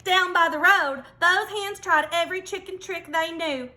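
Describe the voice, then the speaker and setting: A woman reading a children's storybook aloud like a teacher, expressive, with dramatic emphasis. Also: very high-pitched, moderate pace.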